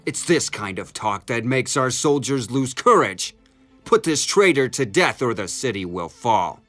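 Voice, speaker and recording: Hostile, emphatic accuser, medium-pitched, for a dramatized children's Bible story. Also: male voice, lively intonation, measured pace, fairly bright tone, faint music behind. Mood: accusing. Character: angry, accusing male enemy of the prophet.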